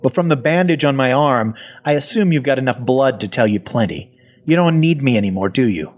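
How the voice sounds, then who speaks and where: A man's voice: mid-range voice, lively intonation, a little fast, close to an everyday voice. An actor playing a wry, self-deprecating survivor of a zombie apocalypse, telling his story in a folksy, sardonic first person. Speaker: actor narrating an audio fiction story. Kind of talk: first-person storytelling, dramatic audio fiction.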